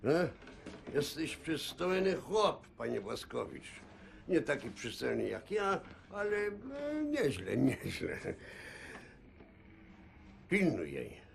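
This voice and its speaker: Slow, gravelly old Polish man. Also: mid-range voice, big pitch swings, slight background noise, heavily acted. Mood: wry, protective. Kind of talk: video game cutscene acting.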